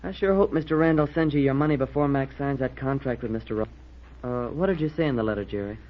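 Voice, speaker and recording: An actor playing a chummy, teasing teenage military school cadet in an old-time radio serial, with an American accent. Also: male voice, mid-range voice, quick pace, natural voice. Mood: concerned, friendly. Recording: dull, noisy old radio recording.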